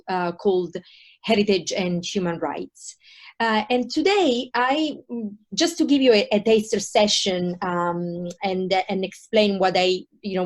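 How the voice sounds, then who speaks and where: A woman in her thirties from Italy, speaking with an Italian accent as she gives a university taster lecture online. Her delivery is hesitant, full of ums, uhs and restarts, at a middling pitch.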